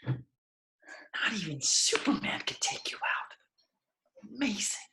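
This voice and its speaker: A man acts out a wounded, intense villain, bitter and accusing. He speaks slowly in a raised register. The amateur table read sounds echoey, recorded in a home room. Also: high voice, very animated, gravelly, bright, forceful tone, heavily acted. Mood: smug, quiet.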